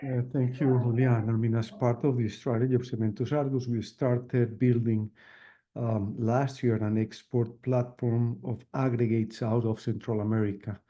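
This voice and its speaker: A man's voice: mid-range voice, level tone, normal speed, dark tone. A man speaking as a company executive, answering questions on a business call, with muffled call audio.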